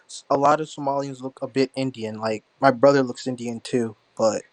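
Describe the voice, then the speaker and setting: A man's voice: mid-range voice, steady tone, moderate pace, no room sound. Hesitant man from the US asking questions. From United States. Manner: hesitant, many fillers and restarts. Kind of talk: online voice-chat debate.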